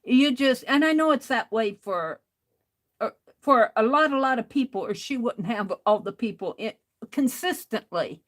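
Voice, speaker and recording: A woman hosting an art livestream, talking casually to chat in a loud voice, rambling and restarting her sentences. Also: a little high, animated, moderate pace.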